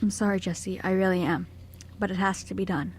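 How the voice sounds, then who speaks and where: Woman acting kindly orphanage worker, middle pitch, hesitant, apologetic, gentle, amateur radio drama. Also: level tone, a little fast, slight background noise, lightly acted. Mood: sad, apologetic. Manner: soft-hearted, apologetic, hesitant.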